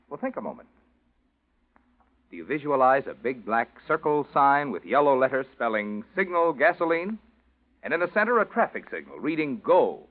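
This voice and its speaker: A man's voice: mid-range voice, phone-quality sound. A man announcing in 1940s radio style, reading a sponsor message with polished, emphatic salesmanship. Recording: band-limited old 1940s radio recording.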